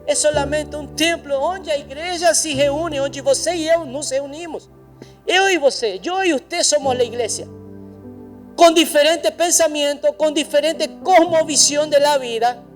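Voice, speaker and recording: A man's voice: very high voice, moderate pace, faint music behind. A pastor preaching a sermon in a church, emphatic and repetitive, speaking straight to his congregation. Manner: addresses the congregation directly.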